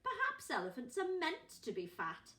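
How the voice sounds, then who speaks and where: A woman's voice: sits high, wildly swooping pitch, moderate pace, bright, forceful tone, voice pushed up, heavily acted. A woman voicing a plump father elephant from a children's storybook with a raised, emphatic voice. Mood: doubtful. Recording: roomy echo, distant microphone.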